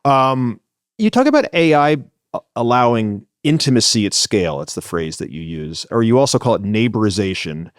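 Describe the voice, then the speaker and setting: A man's voice: fairly low pitch, sing-song, moderate pace, no room sound, studio quality. A man hosting a podcast interview, asking curious questions and often repeating words as he starts a sentence. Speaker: podcast host.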